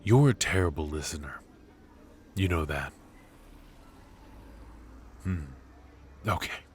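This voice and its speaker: Man acting a very deep-voiced, smug mafia boss. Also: expressive, slight background noise, exaggerated voice. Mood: smug, teasing. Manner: unhurried, smug, probing, with pauses and musing hums. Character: brilliant, ruthless, teasing mafia boss, calmly bargaining. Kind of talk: intimate ASMR roleplay, noir audio drama.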